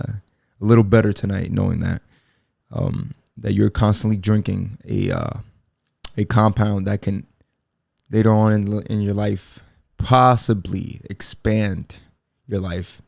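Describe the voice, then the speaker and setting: A man's voice: fairly low pitch, vocal fry, dark tone, no echo, studio quality. A man in his twenties hosting a casual solo podcast, rambling in laid-back slang with lots of fillers and restarts. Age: 20s. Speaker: podcast host.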